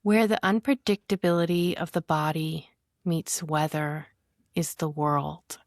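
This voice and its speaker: A woman with a low-pitched voice speaks as a guest on a poetry program. She moves between conversational talk and reading verse, in a very dry recording with little room sound. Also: steady tone, a little slow, no echo. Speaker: guest poet. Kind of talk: literary conversation with poem reading.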